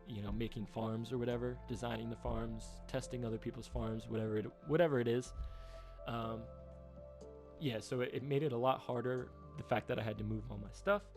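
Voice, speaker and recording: A man in his twenties rambling through a personal update, halting with many fillers and restarts, subdued by a rough time. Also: mid-range voice. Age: 20s. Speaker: YouTuber.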